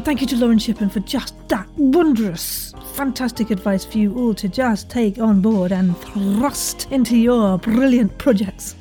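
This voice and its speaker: A woman's voice: a little high, warm tone. A woman hosting a podcast, chatting and presenting in an effusive, exuberant and encouraging manner. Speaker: podcast host. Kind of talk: enthusiastic interview chat and presenting.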